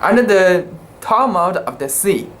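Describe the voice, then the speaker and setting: Slow, expressive amateur story narrator. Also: mid-range voice. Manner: halting, theatrical delivery with stumbles and self-corrections. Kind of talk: dramatic reading of a literary sea story.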